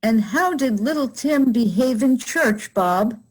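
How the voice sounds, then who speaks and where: Woman acting lively, fond, fretful mother. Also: on the high side, slow pace, husky, heavily acted, poor audio. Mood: fond, motherly. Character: Mrs. Cratchit, fond, fretful middle-aged mother. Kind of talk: radio play acting.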